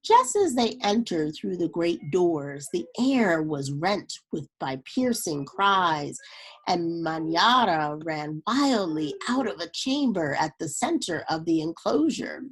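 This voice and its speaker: A woman reading a children's story aloud in a deliberate, expressive storytelling way. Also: on the low side, husky. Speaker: storybook reader.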